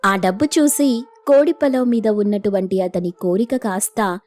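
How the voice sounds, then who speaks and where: A woman's voice: mid-range voice, a little slow, a little mellow, soft background music. A woman narrating a scripted moral folk tale as the storyteller.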